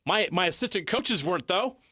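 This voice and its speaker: Male sports talk host, highly animated and emphatic, arguing his point in a dry, close studio sound. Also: brisk, breathy edge, no room sound. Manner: emphatic, rhetorical questions, repeats phrases for stress. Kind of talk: heated sports commentary and debate.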